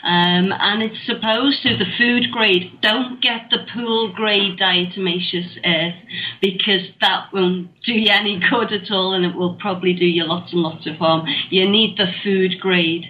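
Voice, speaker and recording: A woman's voice: mid-range voice, fairly even intonation, unhurried. A woman with a Scouse accent hosting a casual internet radio chat. She is chatty and laughs often between her points. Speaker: radio show host. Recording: muffled online radio audio with faint hiss.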